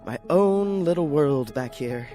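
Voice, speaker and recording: A man's voice: a little high, animated, measured pace, warm tone, soft background music, pitched below the performer's own voice. A man playing a lonely, neurotic, paranoid writer in an introspective, theatrical radio drama monologue. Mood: self-conscious, brooding.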